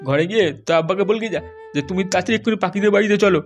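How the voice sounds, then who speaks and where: Man voicing a fast, high-voiced scheming cartoon buddy. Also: soft background music, close to an everyday voice. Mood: urgent advising. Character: bossy, loyal young male friend, brash schemer. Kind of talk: comedy cartoon dialogue.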